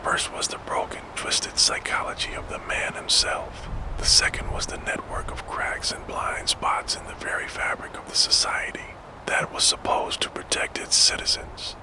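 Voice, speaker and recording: A man narrating true crime in a soft, fully unvoiced ASMR whisper, slow and measured, close to the microphone. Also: low-quality recording.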